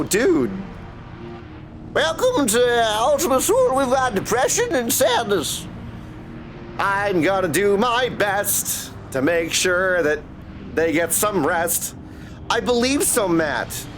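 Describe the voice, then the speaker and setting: A man's voice: high voice, background noise. A man livestreaming a game, chatting casually and in slang with his viewers. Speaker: game streamer. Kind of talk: casual livestream gameplay commentary. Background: game music.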